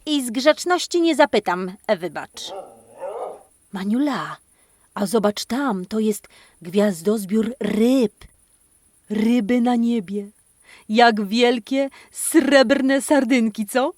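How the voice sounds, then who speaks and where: A woman hosting a children's podcast, telling a playful interactive story in an animated, exclamatory way, speaking straight to kids and a dog. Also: fairly high pitch, slow pace, no echo, clean studio sound. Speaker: host of a children's speech-exercise podcast.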